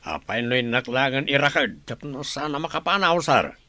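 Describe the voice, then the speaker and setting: An actor with an Ilocano accent playing a worried, deferential household underling in a radio drama, anxious and respectful. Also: male voice, mid-range voice, animated, moderate pace, pushed and bright, no echo.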